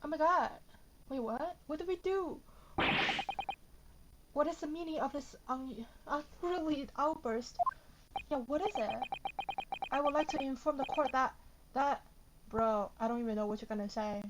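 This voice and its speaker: High-voiced woman streaming a game. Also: normal speed, pauses often, heavy background noise. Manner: dramatic, talks to herself, exclaiming. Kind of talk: reading game dialogue aloud with excited casual reactions. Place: bedroom. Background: loud game music.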